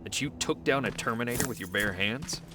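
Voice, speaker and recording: A man's voice: mid-range voice, fairly quick, music in the background, natural voice. A man voicing an earnest, questioning young resistance soldier in a video game. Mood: inquisitive.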